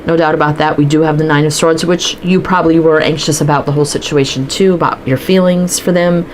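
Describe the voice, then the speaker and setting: A woman's voice: low voice, steady tone, quick pace, a little hiss or hum, low-quality recording. A woman doing a casual tarot reading, chatting and thinking aloud as she pulls cards, stuffy and sniffling from allergies. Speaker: tarot reader.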